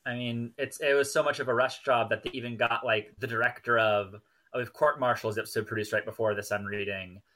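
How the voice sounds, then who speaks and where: A man's voice: mid-range voice, fairly even intonation, fairly quick, bright, forceful tone. A man in a casual, analytical discussion on a TV review podcast. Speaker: podcast co-host.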